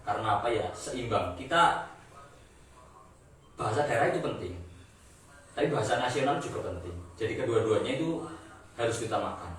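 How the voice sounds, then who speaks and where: Young man, laid-back and agreeable, pausing to think, echoey room, far from the mic. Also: medium pitch. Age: young adult. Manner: relaxed, agreeable, thinking aloud. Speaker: discussion participant. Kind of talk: casual group discussion. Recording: echoey room, distant mic, faint noise.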